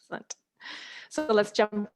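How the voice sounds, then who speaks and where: A woman giving an informal online presentation, thinking aloud as she goes in a halting way. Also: mid-range voice, loud music behind the voice. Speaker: presenter, ocean education coordinator. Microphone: video call.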